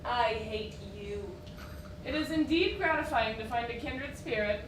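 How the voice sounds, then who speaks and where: A woman's voice: a little high, animated, unhurried. A woman on stage playing a gushing, mannered society woman with spite and scheming underneath. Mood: spiteful, polite veneer. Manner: mannered, melodramatic. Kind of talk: theatrical stage acting. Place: echoey theatre stage, recorded from afar. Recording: echoey room, distant mic, clear noise.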